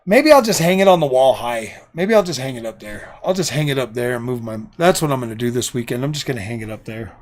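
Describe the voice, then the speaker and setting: A middle-aged man hosting a casual live stream from his home gaming room, rambling and indecisive, his voice going hoarse. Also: medium pitch, expressive, quick pace, few pauses. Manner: rambling and indecisive, repeats himself. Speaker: livestream host.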